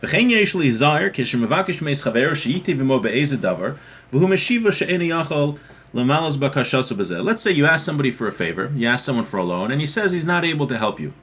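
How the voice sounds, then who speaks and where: A man's voice: mid-range voice, very animated, quick pace, a bit bright, breathy edge. A man giving an informal lesson as a religious teacher, reading from a text and then explaining it with everyday examples.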